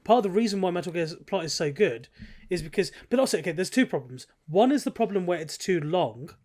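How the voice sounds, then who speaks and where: A man speaks very quickly in a fairly high voice on a casual gaming podcast. He is analytical, laying out his reasoning and qualifying it as he goes. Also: expressive, darkish tone. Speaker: podcast host.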